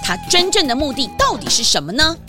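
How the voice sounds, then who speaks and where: A woman narrating a children's detective story in an expressive scripted storytelling style. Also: high-pitched, fast talker. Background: music.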